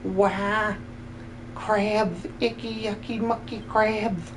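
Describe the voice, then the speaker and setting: A man's voice: high voice, little pitch movement, drawn-out words, noisy background, pitched above the performer's own voice. A man voicing a bossy, haughty and squeamish game villager who sounds demanding and impatient. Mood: disgusted.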